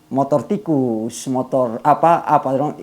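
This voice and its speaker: A man's voice: medium pitch, measured pace, darkish tone. A man from Indonesia with a Kupang Malay accent hosting a casual interview, often echoing answers back. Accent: Kupang Malay, eastern Indonesia. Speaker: host of an interview show.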